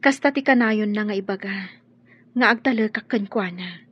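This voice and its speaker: Actress as soft, hesitant young woman, muffled sound. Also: medium pitch, normal speed, slightly rough, close to an everyday voice. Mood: worried. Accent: Filipino. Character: hesitant young woman courted above her station. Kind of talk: Ilocano radio drama acting. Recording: dull sound with faint noise.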